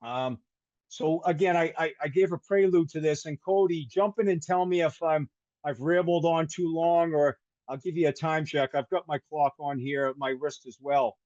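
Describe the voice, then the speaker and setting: A man's voice: on the high side, steady tone, normal speed, darkish tone, no room sound. An older man with a Boston accent presenting a live webinar, rambling with restarts and a joking tone. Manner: rambling, restarts and self-corrections, jokey. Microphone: video call microphone. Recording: dull webinar call audio.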